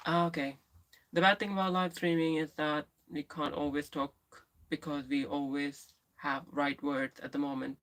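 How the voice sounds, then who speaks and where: A woman with a lisp who livestreams, chatting candidly and answering viewers in a rambling way with many restarts and pauses. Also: low voice, little pitch movement, a bit bright, low-quality recording. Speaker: live streamer. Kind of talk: casual live stream chat, answering viewers.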